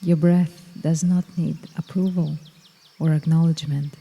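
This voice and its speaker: Woman, low dark husky voice, slow soothing meditation guide, long reverb, faint insects behind. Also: steady tone.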